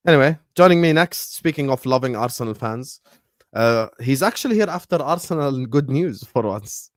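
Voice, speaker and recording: A man's voice: medium pitch, expressive, normal speed, dry recording, clean studio sound. Teasing man hosting a chat. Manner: teasing banter, laughs often. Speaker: podcast host. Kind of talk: casual football chat.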